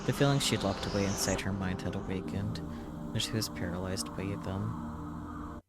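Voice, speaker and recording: A man's voice: deep voice, steady tone, normal speed, loud music behind the voice. A man reading a visual novel aloud on a stream as dramatic story narration.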